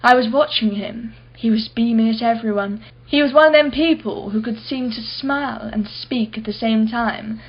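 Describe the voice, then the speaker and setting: A woman's voice: on the high side, moderate pace, hardly any pauses, breathy edge, highs cut off. An actress playing an imperious, wealthy businesswoman in a radio play, guarded and impatient, speaking in a clipped, formal way. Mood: wistful, reflective.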